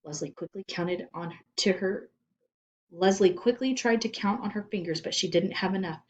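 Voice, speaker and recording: A woman reading children's fiction aloud as expressive scripted narration. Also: mid-range voice, normal speed, slight background noise. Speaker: storybook reader.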